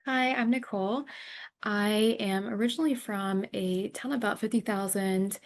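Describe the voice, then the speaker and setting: A woman's voice: mid-range voice, moderate pace. A young woman from Tennessee giving a brief, plain self-introduction on a video call. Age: young adult. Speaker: student fellow.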